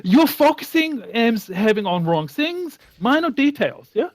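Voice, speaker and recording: A man with a foreign accent bantering in broken, halting English, repeating words and bossing the other person around. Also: extremely high pitch, big pitch swings. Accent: foreign, non-native English. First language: not English. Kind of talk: bantering improvised comic chat.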